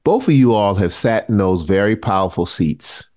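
A man's voice: on the low side, animated, moderate pace, a little mellow, no echo, studio quality. A man in his sixties co-hosting a talk podcast, asking questions with teasing, playful banter. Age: 60s. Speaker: podcast co-host. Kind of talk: interviewing a guest.

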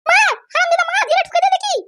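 A performer voicing a shrill, defiant young wife who screeches accusations in a comedy cartoon. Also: steady tone, racing, sharp tone. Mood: indignant.

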